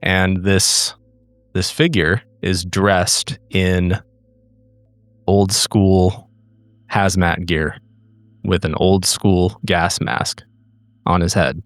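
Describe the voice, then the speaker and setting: A man narrating suspensefully as game master of a horror game, deliberately and in broken phrases. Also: low-pitched, little pitch movement, no echo.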